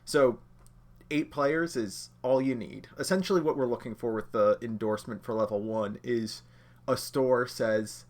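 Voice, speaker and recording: A man with a slightly nasal voice from being under the weather, teaching a livestreamed lesson in a relaxed, chatty way, talking straight to his viewers. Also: medium pitch, measured pace. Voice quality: a bit nasal. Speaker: judge training instructor.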